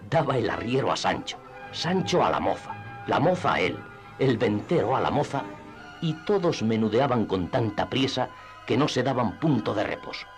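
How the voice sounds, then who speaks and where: A man with a husky voice narrating a classic tale for radio theatre in a measured, dramatic storytelling manner. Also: mid-range voice, very animated, faint music behind.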